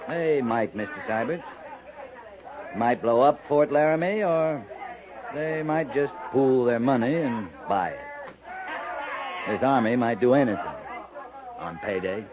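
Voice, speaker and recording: An actor playing a weary, dry-witted cavalry captain in a 1950s radio drama, speaking with dry command. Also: male voice, mid-range voice, measured pace, long pauses, a crowd in the background, lightly acted. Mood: dry, wry. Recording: muffled 1950s radio recording, busy background.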